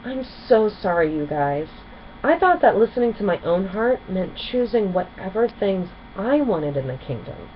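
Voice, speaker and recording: A woman's voice: medium pitch, sing-song, moderate pace, dull audio, lightly acted. Lady doing a sweet earnest pony princess from a storybook, swoopy voice, bit of hiss. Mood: heartfelt, sincere. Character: earnest young female pony princess, kind and sincere.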